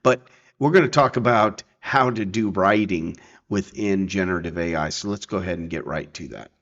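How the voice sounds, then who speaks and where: A man presenting a casual software tutorial, explaining informally and checking in as he goes. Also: low-pitched, normal speed, lo-fi sound.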